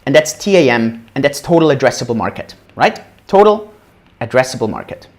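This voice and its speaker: A man's voice: medium pitch, fairly quick. A man in his thirties from Austria, giving a casual livestream lecture on investing at a whiteboard, often tagging sentences with "right?". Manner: animated, casual, frequent "right?" tag questions. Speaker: crypto educator.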